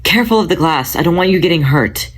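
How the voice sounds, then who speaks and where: A man doing a gentle protective tomcat. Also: fairly high pitch, lively intonation, quick pace, voice pushed up. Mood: tender. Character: protective older male cat, gentle but grave.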